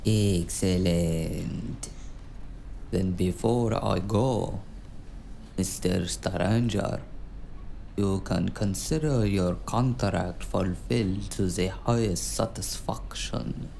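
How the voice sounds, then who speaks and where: Calculating gentleman client played by a man: creaky, mellow, unhurried, formal speech, audio drama with some background noise. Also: low voice, exaggerated voice. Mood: courteous, satisfied. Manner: formal, measured, courtly. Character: formal, smooth-mannered, calculating male business client.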